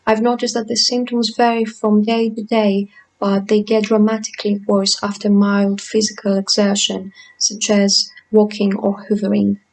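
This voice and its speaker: A woman in her twenties from Greece, calmly reading her own patient testimony. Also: medium pitch, normal speed, rough recording. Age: 20s. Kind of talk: read personal testimony.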